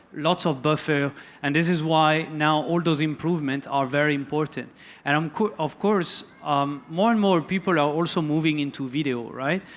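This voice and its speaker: A man with a French accent giving a relaxed, loosely worded talk on wildlife photography to an audience, full of fillers and restarts. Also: fairly high pitch. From France. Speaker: photography lecturer. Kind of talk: conference talk.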